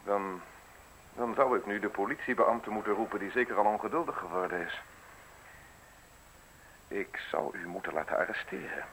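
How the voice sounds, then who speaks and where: An actor playing a conflicted, polite state prosecutor in a radio play, speaking in a formal, measured, courteous way on a hissy old recording. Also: male voice, fairly low pitch, fairly bright tone, rough recording. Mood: resigned, earnest. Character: conflicted male state prosecutor, polite, evasive family man. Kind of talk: Dutch radio play acting.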